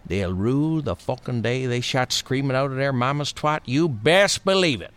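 A man plays a self-important government boss in an audio satire. He has a forceful, bright voice that swings widely in pitch. His talk is folksy and profane, full of bullying bluster. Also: animated, normal speed, close to an everyday voice. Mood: menacing. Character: foul-mouthed, menacing, self-important male senior government official. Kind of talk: satirical audio drama acting.

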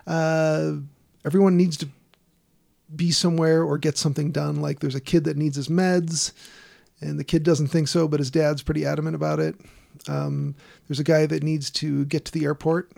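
A man's voice: a little high, flat delivery, normal speed, vocal fry, darkish tone. A man casually recapping a horror film on a podcast, rambling and wry, with frequent fillers and laughs. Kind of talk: casual comedic chat.

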